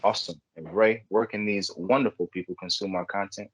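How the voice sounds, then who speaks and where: A man's voice: on the low side, fairly even intonation, fairly quick, husky, rough recording. A man hosting a podcast in a casual, conversational style. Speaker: podcast host. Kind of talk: casual chat.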